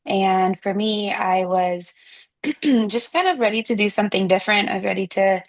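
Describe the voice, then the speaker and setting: A woman's voice: medium pitch, moderate pace. A young woman from Kansas chatting casually as an interview participant on a video call. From Kansas, United States. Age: young adult. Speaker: program participant being interviewed. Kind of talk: casual self-introduction and chat.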